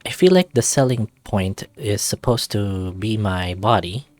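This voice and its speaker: A man's voice: fairly low pitch, a little slow, dry recording. A man reading a visual novel aloud as narrator. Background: music.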